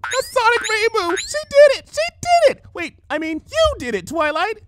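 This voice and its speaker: Man as excitable young pony girl, cartoon style. Extremely high, swooping, squealing delivery. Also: moderate pace, dry recording, heavily acted. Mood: excited. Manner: squealing, exclamatory.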